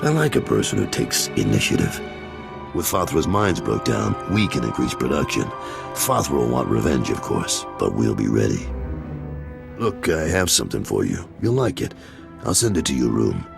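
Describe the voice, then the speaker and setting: Gruff older crime boss for a game: blunt, paternal, heavy gravel, music behind. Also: male voice, sing-song, moderate pace, full character voice. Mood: approving. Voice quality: very deep, gravelly. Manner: blunt, tough-talking, paternal. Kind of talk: video game voice acting.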